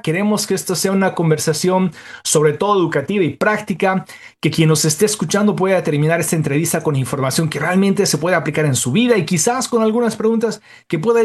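A man hosting a radio interview show as a doctor, speaking in a formal, courteous and articulate way. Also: high voice. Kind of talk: scripted introduction and radio interview.

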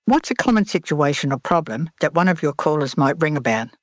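A woman's voice: low voice, lively intonation, brisk, no echo, clean studio sound. A woman hosting a radio interview, asking friendly, curious questions. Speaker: radio host.

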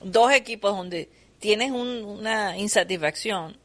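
A woman's voice: mid-range voice, a little slow. A woman with a Caribbean Colombian accent, giving opinions in a casual sports panel discussion. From Caribbean coast of Colombia.

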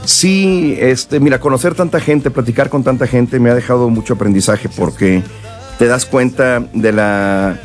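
Relaxed man, rambling. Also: medium pitch, moderate pace, faint music behind. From northern Mexico and South Texas. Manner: relaxed, rambling, frequent fillers and restarts. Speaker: musician guest. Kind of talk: casual interview chat.

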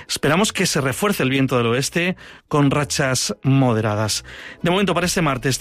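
Man, mid pitch, radio weather report, steady delivery. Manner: steady, informative. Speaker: weather presenter.

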